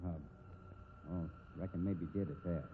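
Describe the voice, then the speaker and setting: An actor playing an evasive, defensive American cowboy gambler in a 1950s radio drama, blustering in a folksy western drawl. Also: male voice, deep voice, fairly even intonation, slow. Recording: noisy, narrow-band old radio recording.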